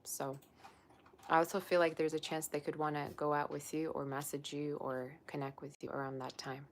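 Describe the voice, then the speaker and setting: A woman's voice: deep voice, flat delivery, moderate pace, slight background noise. A young woman, a psychic tarot reader, chatting casually on a livestream and reading viewer comments aloud. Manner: chatty and rambling, with many "like" fillers.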